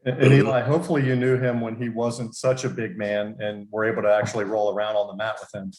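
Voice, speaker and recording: A middle-aged man co-hosting a casual video call interview, relaxed and slightly halting. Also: on the low side. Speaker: co-host. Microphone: video call webcam. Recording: roomy echoing webcam audio.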